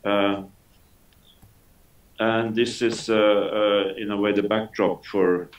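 An older man moderates an academic session over a video call. His voice is very deep and his pace is slow, with frequent filler sounds. The audio is roomy and sounds set back from the mic. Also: level tone, distant mic. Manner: halting, many filler sounds. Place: home study with bookshelves.

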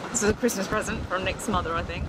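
30s woman chatting on a sailboat, upbeat and rambly, lots of wind on the action cam. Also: mid-range voice. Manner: chatty, upbeat, thinks aloud with fillers. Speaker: sailing vlog host. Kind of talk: casual chat while sailing. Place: outdoors on a sailboat cockpit at sea. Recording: clear wind noise outdoors.

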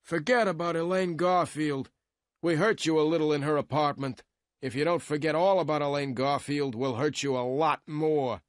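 A man voicing a menacing thug who makes slow threats over the telephone, in an audiobook crime story. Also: on the high side, no echo, clean studio sound, pitched above the performer's own voice, lightly acted.

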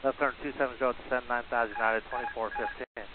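A male airline pilot talks quickly in radio calls to air traffic control. His mid-pitched voice comes through a tinny, band-limited cockpit radio with faint noise behind it. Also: fairly even intonation.

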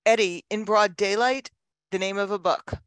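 An older woman answering questions as a guest lecturer, explaining thoughtfully and haltingly in an informal talk. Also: medium pitch, steady tone, clean tone, no echo. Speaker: guest lecturer, genealogy researcher. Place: indoors on a video call. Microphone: headset microphone.

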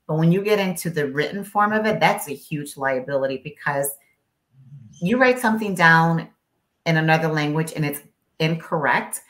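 A woman's voice: deep voice, lively intonation, moderate pace, a bit bright. A woman whose first language is Spanish, an interpreter, explaining emphatically as a guest on a video call with many rhetorical questions. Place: home office. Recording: echoey room on video call.

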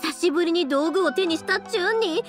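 An actress playing a cheerful, kind young fireworks maker in an anime game, speaking with a Kansai accent in a friendly, chatty, expressive way. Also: female voice, extremely high pitch, fast. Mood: grateful, admiring. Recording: studio voice over game music.

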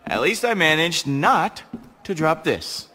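Laid-back game adventurer, joking and animated. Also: male voice, on the high side, takes it slowly, in a large hall, slight background noise, full character voice. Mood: gentle. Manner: joking, casual. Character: wisecracking easygoing human space adventurer. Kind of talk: video game acting.

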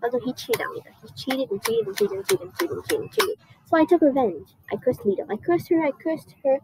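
A young girl playing an indignant, vengeful Greek goddess queen in a school monologue, haltingly and emphatically repeating her phrases. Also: high voice, very animated, very noisy. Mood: vengeful, exasperated. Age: child or young teen student. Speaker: student performer. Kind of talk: costumed first-person school monologue. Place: outdoors under a wooden canopy.

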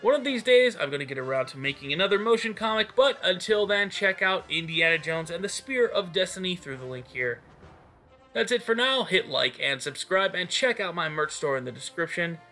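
Bright, forceful man with a higher-pitched voice. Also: expressive, fairly quick. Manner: enthusiastic. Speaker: fan news channel host. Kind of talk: scripted news roundup narration. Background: music behind the voice.

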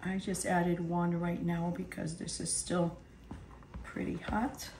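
A woman with a hoarse, partly lost voice, half whispering as she calmly talks through a cooking demonstration in her home kitchen. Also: on the low side, slow, echoey room, a little hiss or hum. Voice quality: whispery, breathy. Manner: calm step-by-step instructions. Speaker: home cook.

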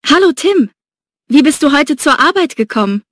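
A woman's voice: high-pitched, lively intonation, normal speed, fairly bright tone. A woman playing a curious coworker in an exam dialogue, asking questions in a surprised tone.

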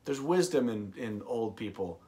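A man in his thirties co-hosting a read-aloud, moving between expressive story reading and casual chat. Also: lowish voice, moderate pace. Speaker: co-host of a read-aloud show. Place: small room indoors, on a couch.

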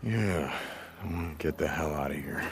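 An actor playing a weary, gruff male biker drifter with a gravelly voice, in a naturalistic video game style. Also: moderate pace. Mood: restless. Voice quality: low gravelly voice.

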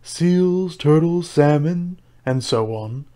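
A man voicing a mournful, wistfully earnest turtle creature in a storybook, speaking slowly and solemnly. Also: on the high side, lively intonation, mellow. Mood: excited. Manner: slow, solemn, sighing. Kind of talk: storybook character voice.

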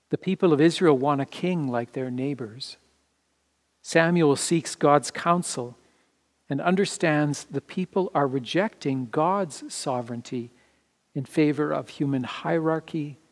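Higher-voiced pastor reading a sermon. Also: male voice, a little slow. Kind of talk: sermon read from a script. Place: indoor church sanctuary, standing before the altar.

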